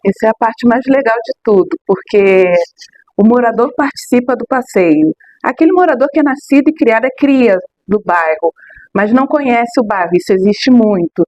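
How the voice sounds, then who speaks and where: A middle-aged woman from Rio de Janeiro, a local tour guide answering interview questions in an emphatic, expressive way with many tag questions. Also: fairly high pitch, moderate pace, muffled recording. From Rio de Janeiro, Brazil.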